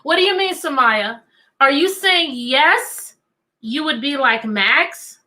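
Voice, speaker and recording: High, bright-voiced woman hosting children's story time. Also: takes it slowly. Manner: animated, asking listeners questions and repeating them. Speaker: children's read-aloud host and teacher. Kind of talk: interactive storybook read-aloud for children.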